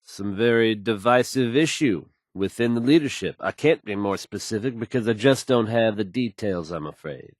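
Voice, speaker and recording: An actor playing a confident, smooth male radio news host in a video game, folksy and dramatic in his announcing. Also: fairly low pitch, animated, normal speed, few pauses, no room sound, studio quality. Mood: composed, wry.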